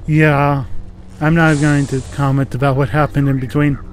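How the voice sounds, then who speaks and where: A man's voice: fairly high pitch, little pitch movement, moderate pace. A man giving casual, sarcastic commentary as he records himself playing a video game. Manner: wry, sarcastic. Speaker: gamer recording a let's play.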